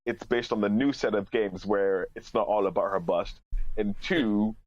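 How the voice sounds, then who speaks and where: A man's voice: fairly low pitch, fairly even intonation, normal speed, a little mellow, muffled recording. A man talking casually as a podcast panelist, blunt and opinionated, prone to swearing.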